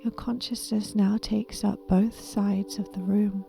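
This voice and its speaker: Woman doing guided meditation, super slow n gentle, whispery breathy voice, bit of creak, soft music behind. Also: medium pitch, level tone. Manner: soothing, gentle, deliberate.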